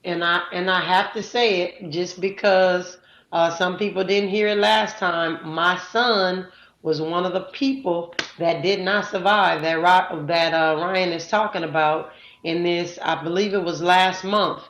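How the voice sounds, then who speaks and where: A woman's voice: on the low side, fairly even intonation, unhurried, a bit bright, echoey room, muffled recording. A woman hosting a live audio chat room, talking casually with many fillers and introducing guests. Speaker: host.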